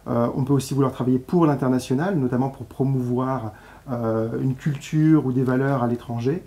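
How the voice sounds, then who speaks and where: A man's voice: medium pitch, steady tone, moderate pace, warm tone. A man in his thirties, deputy director of a school, answering interview questions in a studio with many hesitations. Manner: explanatory, with frequent hesitations. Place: TV studio set, seated at a table.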